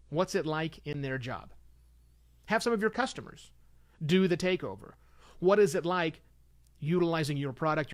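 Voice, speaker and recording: A middle-aged man hosting a solo show, giving informal advice in an animated, emphatic way with conversational asides. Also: a little high. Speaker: podcast host and consultant.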